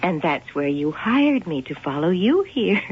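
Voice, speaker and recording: A woman's voice: fairly low pitch, very animated, a little slow, husky, full character voice. An actress playing a genteel, secretive young woman who grows nervous, in 1970s radio acting. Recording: lo-fi old radio broadcast.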